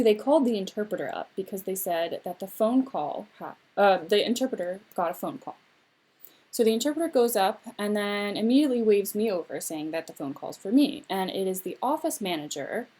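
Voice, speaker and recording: Young woman, mother, telling a personal story as a spoken interpretation; measured, phrase-by-phrase pacing. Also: medium pitch, darkish tone, husky.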